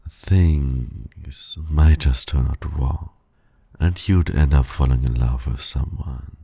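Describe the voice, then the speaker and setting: Casting: male actor for a suave villain lover in ASMR roleplay, extremely low, breathy voice, flirtatious and teasing. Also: mellow, no room sound, heavily acted. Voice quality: gravelly, breathy. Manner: flirtatious, slow, purring, often dropping to a whisper. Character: suave, teasing male supervillain courting his hero nemesis.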